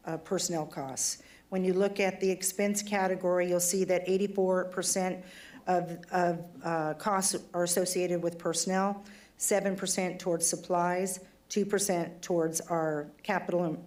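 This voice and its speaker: A woman police chief with a fairly low voice, briefing a city council. Her throat is giving out and she sounds hoarse as she talks. She speaks plainly and conversationally, though the setting is formal. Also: a little mellow. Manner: conversational and plainspoken, some fillers. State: losing her voice, throat trouble.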